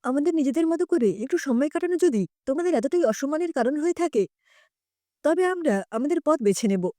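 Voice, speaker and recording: A woman's voice: high-pitched, animated, a little fast, dark tone, no echo, studio quality. A woman as a proud, wounded woman in her sixties. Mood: wounded, defiant. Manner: clear, dignified, wounded.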